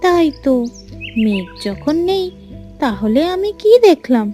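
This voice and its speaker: একজন মহিলা কার্টুন রূপকথার এক চালাক, খানিকটা কর্তৃত্বপরায়ণ পরীর চরিত্রে নাটকীয় ভঙ্গিতে কণ্ঠ দিচ্ছেন।. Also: female voice, sits high, very animated, a little slow, mellow, faint music behind. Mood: feigned concern, stern. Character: clever female fairy, helpful schemer, teasing and bossy. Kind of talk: animated fairy tale voice acting.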